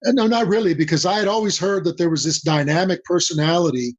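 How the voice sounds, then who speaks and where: An older man from Mexico whose first language is Spanish, a guest on a podcast interview, talking in a measured way and repeating key phrases for emphasis. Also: sits high, no room sound. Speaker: guest, senior advisor. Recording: dull dry lo-fi audio.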